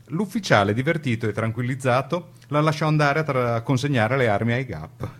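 A man delivering scripted dramatic documentary narration in deliberate phrases with theatrical pauses. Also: mid-range voice.

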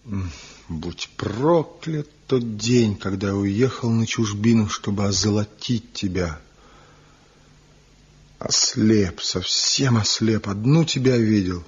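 A man's voice: lowish voice, slow pace, husky, exaggerated voice. An actor in a 1970s radio play voicing a man back after seven years, impassioned and pleading. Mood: bitter. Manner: impassioned, pleading, swinging between grief and resolve. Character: man returned after seven years, passionate, tormented lover. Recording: dull lo-fi old radio recording.

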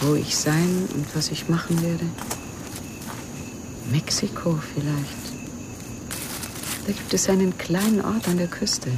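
An actress playing a middle-aged grieving widow in a radio crime drama, brooding and halting as she drifts into memories. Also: deep voice, gravelly, full character voice. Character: world-weary grieving widow, haunted by memories, self-pitying. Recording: noisy track with outdoor ambience.

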